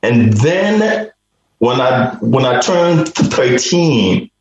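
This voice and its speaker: Slow, reflective man on an echoey line. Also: mid-range voice, very animated, highs cut off, a little hiss or hum. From Liberia. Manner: slow, reflective, with repeated restarts. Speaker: guest. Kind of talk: personal storytelling in a radio interview.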